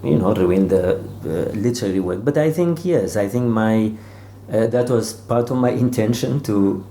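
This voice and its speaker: In a roomy, echoing space with faint noise, a man talks informally as an author being interviewed. His voice is soft-edged and mellow, and he feels his way through sentences, doubling back on words. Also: lowish voice. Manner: halting, searching delivery with repeated words. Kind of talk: informal literary discussion.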